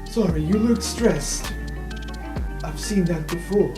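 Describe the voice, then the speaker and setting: A woman's voice: on the low side, slow pace. A woman playing a tabletop roleplaying game, improvising dialogue in character. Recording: roomy, with music under the voice. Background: music.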